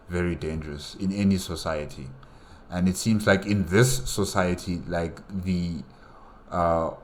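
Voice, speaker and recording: Deep voiced guy reacting to a game movie, lots of uh and you know, rambling. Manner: rambling, many fillers and repeats. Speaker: reaction video host. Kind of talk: casual reaction commentary.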